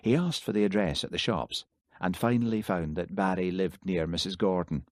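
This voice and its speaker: A man narrating an audiobook in a measured, even storytelling manner. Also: sits low, no echo, studio quality. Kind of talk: scripted narration.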